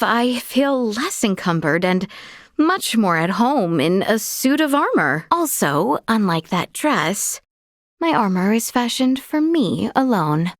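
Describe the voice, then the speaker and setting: Casting: gracious young warrior princess for a fantasy game, formal and earnest, unhurried delivery, close dry sound. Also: female voice, medium pitch, dry recording. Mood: wry, composed. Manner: formal, courtly, measured diction. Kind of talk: video game character dialogue.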